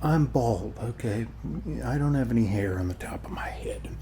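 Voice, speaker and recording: A man playing a friendly, rambling neighbor in a soft ASMR roleplay, speaking haltingly and often dropping to a whisper. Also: medium pitch, a little hiss or hum, natural voice. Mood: rambling, easygoing. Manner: halting, rambling, reassuring, often ending phrases with "okay". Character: friendly rambling male neighbor at a lake party. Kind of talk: ASMR roleplay, soft-spoken one-sided conversation.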